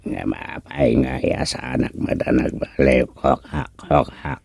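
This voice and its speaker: A very low, dark, gravelly frog-man, acted by a man for a comedic radio serial. He grumbles haltingly, slipping croaks into his slow talk. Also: no echo, heavily acted. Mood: wary, bargaining. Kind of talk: comedic radio drama acting.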